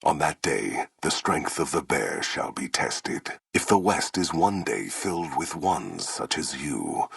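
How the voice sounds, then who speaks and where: Towering game warlord: extremely low, husky rumble, grim and proud, commanding, with big dramatic swings in intonation. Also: male voice, big pitch swings, a little slow, few pauses, a bit bright, no echo, clean studio sound, full character voice. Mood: brooding. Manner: solemn, commanding, formal and portentous speech. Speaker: video game voice actor. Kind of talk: theatrical video game villain acting.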